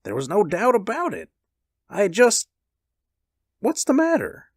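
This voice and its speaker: A man voicing a gentle, earnest, slow-witted elderly husband in a story. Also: high-pitched, huge pitch swings, no room sound, clean studio sound, voice pushed up, exaggerated voice. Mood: insistent. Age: older.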